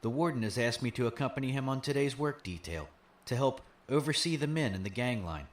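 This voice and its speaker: An actor playing a courteous, oddly enthusiastic young prison guard in an audio drama. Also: male voice, mid-range voice, a little fast, close to an everyday voice. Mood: cheerfully polite. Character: polite, upbeat young male prison guard.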